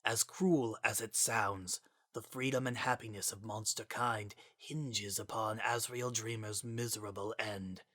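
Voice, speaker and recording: Man as a stern, bright-toned spectral scientist. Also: lowish voice, steady tone, unhurried. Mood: stern, judgmental. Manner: formal, grave, lecturing. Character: stern, solemn, judgmental spectral scientist, lecturing. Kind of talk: dramatic reading of fan fiction.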